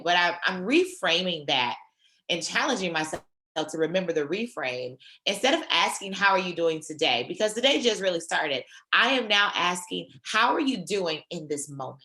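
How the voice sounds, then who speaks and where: A woman trainer leading a virtual session on a video call, her voice a little hoarse from a sore throat, speaking in an encouraging, conversational way. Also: fairly low pitch, sharp tone, echoey room.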